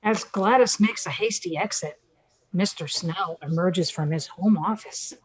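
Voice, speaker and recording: An older woman reading scripted narration for a radio play, with a husky voice. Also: lowish voice, measured pace, slight background noise, low-quality recording.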